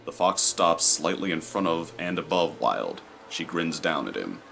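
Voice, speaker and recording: A man's voice: a bass voice, sing-song, a little slow, gravelly, fairly bright tone, soft background music. A man giving scripted narration of the action in an audio drama, as its narrator.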